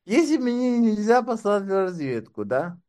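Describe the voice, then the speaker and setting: Wry, sardonic man with raised pitch. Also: very animated, normal speed, mellow. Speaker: interview guest, doctoral candidate. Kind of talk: casual conversation.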